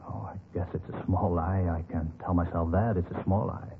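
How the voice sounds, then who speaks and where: Gentle, stubborn old businessman, low-voiced and hesitant, for a vintage radio crime drama on a tinny recording. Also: fairly even intonation, moderate pace, slight background noise. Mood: troubled, reluctant. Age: older. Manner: hesitant, mild, repeats himself.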